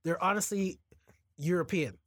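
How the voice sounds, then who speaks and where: A man in a casual podcast chat, earnest and quick to laugh. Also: high voice, a bit bright, no echo, clean studio sound. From Trinidad. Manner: earnest, laughing, trips over words.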